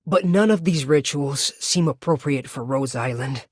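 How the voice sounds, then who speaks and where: A woman's voice: extremely low pitch, moderate pace, slightly rough, dry recording, studio quality. A woman playing a courteous, composed tailor from mafia circles, formal and restrained, in a game. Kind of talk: scripted game character greeting.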